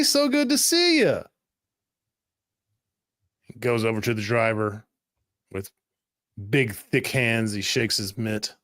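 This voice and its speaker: Low, gravelly male game master. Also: a bit bright, dry recording, clean studio sound. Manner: dramatic, clipped present-tense narration. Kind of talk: narrating a tabletop roleplaying game.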